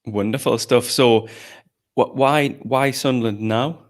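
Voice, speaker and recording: A man's voice: medium pitch, steady tone, unhurried. Encouraging male event host. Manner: encouraging, teasing, repeats and rephrases questions. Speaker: host interviewer at a live online showcase. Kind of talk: informal, upbeat event interview.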